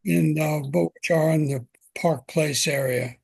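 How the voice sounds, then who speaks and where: An older man hosting an interview podcast from his home, asking questions in a gentle, unhurried way with frequent fillers. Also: on the high side, steady tone, gravelly, husky, no echo. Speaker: podcast host.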